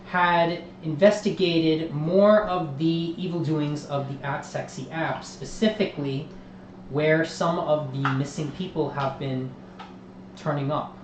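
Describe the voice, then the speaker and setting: A man hosting a live tabletop role-playing stream as game master, moving from an upbeat welcome into a steady recap of the story. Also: on the high side, measured pace, reverberant, slight background noise. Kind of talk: livestream tabletop game narration.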